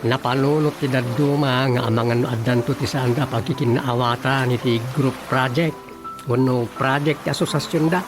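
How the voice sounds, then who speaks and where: An actor playing a talkative village official who explains things earnestly in an Ilocano radio drama. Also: male voice, mid-range voice, steady tone, normal speed, close to an everyday voice. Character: talkative male village official reporting to his chief. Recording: music under the dialogue.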